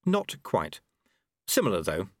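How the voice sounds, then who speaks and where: A man voicing a calm, quietly assured young male apprentice monk. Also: deep voice, dry recording, studio quality, exaggerated voice. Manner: calm, measured, quietly firm.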